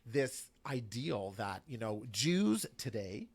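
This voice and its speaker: A man speaks as a guest on a radio talk show, with an emphatic, passionate delivery. His pitch leaps and falls, and he repeats phrases to drive home each point. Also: very animated, slow, breathy edge.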